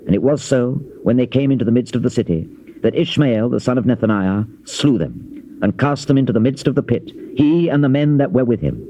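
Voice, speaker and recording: A man giving a solemn, measured, dramatized narration of scripture in archaic King James English. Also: mid-range voice, lively intonation, mellow. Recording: dull, hissy old cassette tape transfer.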